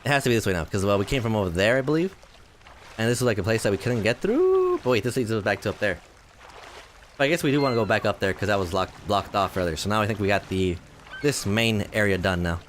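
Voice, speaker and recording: A man in his twenties giving rambling, self-deprecating commentary while playing a game, his voice tired and a little hoarse. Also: on the low side, very animated, fast talker, a little hiss or hum. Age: 20s. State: voice tiring, slightly hoarse. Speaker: gaming video host. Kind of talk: casual let's-play commentary.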